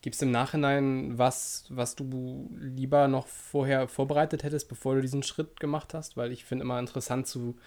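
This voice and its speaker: A man's voice: medium pitch, flat delivery, moderate pace, few pauses, a little mellow. A man hosting a podcast, asking long, rambling questions in a casual, friendly interview. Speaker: podcast host.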